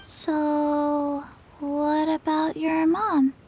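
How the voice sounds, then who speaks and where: A woman's voice: high-pitched, level tone, drawn-out words, a little mellow, a little hiss or hum. A woman voicing a small, eager, fairy-like female companion in a game. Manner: eager, bouncy. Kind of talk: game voice acting.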